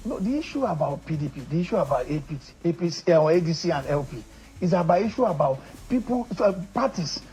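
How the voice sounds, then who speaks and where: A man's voice: a little high, big pitch swings, fast talker, darkish tone, husky, poor audio. A middle-aged man from northern Nigeria with a Nigerian accent, a political guest in a heated interview who insists on his point and often repeats words as he presses it.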